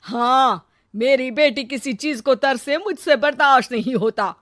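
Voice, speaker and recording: A woman's voice: high-pitched, a little slow, heavy background noise, pitched above the performer's own voice. A woman voicing a domineering older mother, fiercely partial to her daughter, in a cartoon story. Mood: scolding. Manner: scolding, forceful, domineering.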